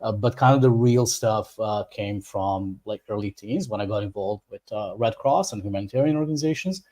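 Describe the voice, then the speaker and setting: Man, from Bosnia and Herzegovina, English, lowish voice, relaxed, halting restarts, video call guest. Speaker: guest expert. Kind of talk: casual interview.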